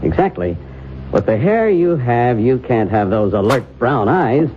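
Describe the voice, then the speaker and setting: An actor in an old-time radio drama playing a self-satisfied disguise expert, musing and giving directions. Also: male voice, medium pitch, sing-song, unhurried. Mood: musing, pleased. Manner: musing, directive, pleased with himself. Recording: dull, noisy old radio recording.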